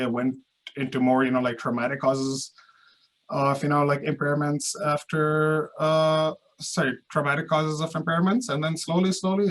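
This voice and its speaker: A man's voice: a little high, fairly bright tone, low-quality recording. A man from Pakistan, a research scientist, answering interview questions in a hesitant way with many uhs and restarts.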